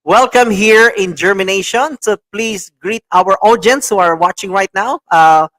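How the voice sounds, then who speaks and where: A man's voice: high voice, a little slow, a bit bright. A man hosting an online talk show, enthusiastic and repetitive, with many fillers. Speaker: show host.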